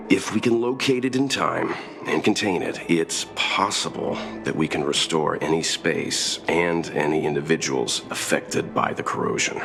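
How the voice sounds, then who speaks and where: Deep, gravelly, reasonable traveler. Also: male voice. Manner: polite, reasoned, explanatory. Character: earnest, reasonable, scholarly adult male traveler. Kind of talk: scripted video game dialogue. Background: background music.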